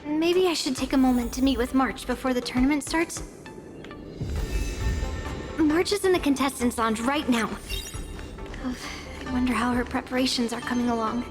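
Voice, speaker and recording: A young swordswoman voiced by a woman speaks in a high, self-assured voice. She is proud and brisk in the manner of an anime-style game heroine, over clear background music. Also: steady tone, natural voice. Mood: resolute. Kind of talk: video game voice acting.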